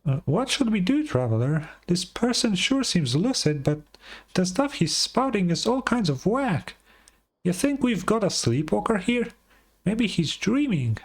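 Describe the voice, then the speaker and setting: A man voicing a small, chatty female fairy companion from a game, excitable and a bit suspicious. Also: sits high, unhurried, slightly rough, pitched above the performer's own voice, heavily acted. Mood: doubtful. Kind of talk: game dialogue read in character.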